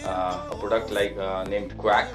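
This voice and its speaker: A man's voice: on the low side, flat delivery, unhurried, roomy sound, over music. A young man from India, a master's student, talking about his team with many hesitant fillers. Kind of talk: interview, team story.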